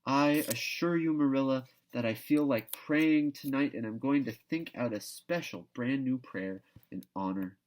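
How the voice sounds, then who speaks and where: A man's voice: medium pitch, unhurried, few pauses, voice pushed up, close to an everyday voice, low-quality recording. A man voicing an exuberant, dreamy young girl who chatters effusively and grandly, in a children's novel read aloud. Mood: happy chattering.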